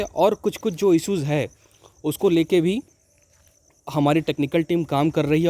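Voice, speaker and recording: A man with a fairly high, very mellow voice. He talks informally to students like a coaching host, reassuring them and repeatedly checking that they follow. The sound is close and dry. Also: no echo. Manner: reassuring, repeats tag phrases to check understanding. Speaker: host of an exam-preparation channel. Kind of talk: informal explainer addressed to students.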